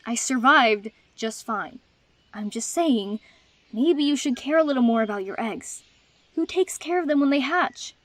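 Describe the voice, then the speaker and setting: A young dragon girl, acted by a woman with a highish voice, proud and hurt. She speaks in a dry, guarded, sarcastic way, as in an animated fantasy drama. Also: unhurried. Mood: bitter. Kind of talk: dubbed fantasy drama acting.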